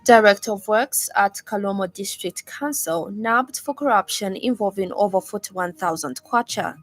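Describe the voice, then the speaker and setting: A woman's voice: medium pitch, normal speed, soft background music. A woman with a Zambian accent reading scripted news and weather as a radio presenter. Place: radio studio.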